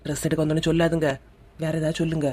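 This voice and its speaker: Woman voicing a fast-talking, nagging Kanyakumari village woman. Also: low voice, completely flat delivery, mellow, breathy edge. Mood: wry, nagging. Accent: Kanyakumari Tamil. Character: sardonic, nagging village woman out shopping with friends. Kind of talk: comic cartoon dialogue in local slang.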